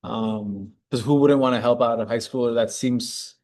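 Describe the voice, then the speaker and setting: Relaxed man thinking aloud on a call. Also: medium pitch, normal speed. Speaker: judge reviewing cold emails. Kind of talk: casual video call chat. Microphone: video call.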